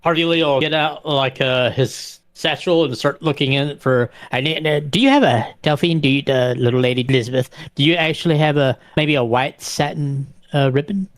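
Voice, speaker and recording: A man's voice: fairly high pitch, brisk, hardly any pauses, no room sound. A man playing a tabletop vampire game, narrating his character's actions casually and often repeating words as he goes.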